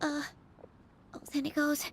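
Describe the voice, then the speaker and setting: A woman's voice: high voice, completely flat delivery, measured pace, vocal fry. A woman voicing a nervous, earnest young female bard in a video game, stammering, hesitant and apologetic.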